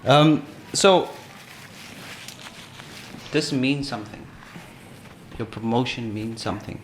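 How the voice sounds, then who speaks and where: A man's voice: mid-range voice, slow. Encouraging male host in an echoey room. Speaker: podcast host. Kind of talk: interview questions. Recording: echoey room, faint noise.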